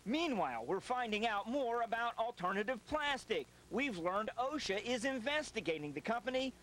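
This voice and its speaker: A male reporter narrating a news package with crisp delivery. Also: extremely high pitch, sing-song, normal speed, a bit bright, slight background noise, poor audio.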